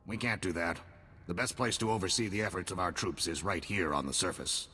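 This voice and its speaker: A man's voice: brisk, bright, forceful tone. An actor playing a grim male field commander who gives clipped, commanding orders in a video game cutscene. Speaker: military commander. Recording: long reverb, faint rumble.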